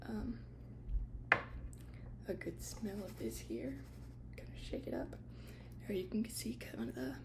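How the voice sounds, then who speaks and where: Whispering woman in soft ASMR roleplay. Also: a little high, vocal fry. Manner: hesitant, unscripted, gently explaining. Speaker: ASMR creator playing a tea shop attendant.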